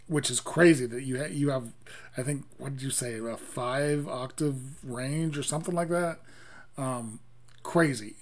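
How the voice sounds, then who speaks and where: Chatty man in a decorated home room. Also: mid-range voice. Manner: rambling, enthusiastic, frequent ums and restarts. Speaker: music reaction host. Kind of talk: casual reaction chat.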